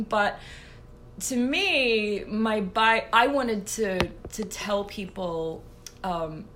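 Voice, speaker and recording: A woman's voice: lowish voice, a little hiss or hum. An Australian woman in her late thirties, a composer guest on a podcast interview, who speaks animatedly with frequent laughs and fillers. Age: late 30s.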